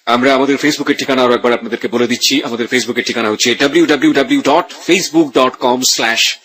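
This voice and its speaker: A man's voice: mid-range voice, fast, a bit bright, husky. A man with a Bangladeshi accent hosting a radio interview, addressing guest and listeners in formal, articulate language. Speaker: radio host.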